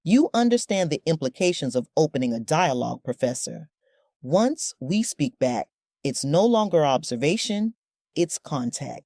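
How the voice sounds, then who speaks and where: A woman's voice: sits low, very animated, moderate pace, warm tone, no echo, studio quality, full character voice. A woman playing a stern, cautious director in a sci-fi audio drama, speaking with commanding authority. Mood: stern, wary.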